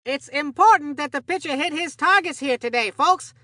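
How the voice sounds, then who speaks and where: A woman voicing an excitable, folksy young baseball commentator in a cartoon video game, gushing in old-time slang. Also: high voice, normal speed, dry recording, studio quality. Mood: folksy, advising. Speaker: sports commentator. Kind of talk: scripted game sports commentary.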